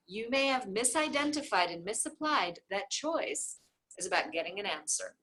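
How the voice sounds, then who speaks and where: Expressive, slightly husky woman reading aloud. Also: a little high, poor audio. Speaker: reader of a book aloud. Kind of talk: book read aloud, informal.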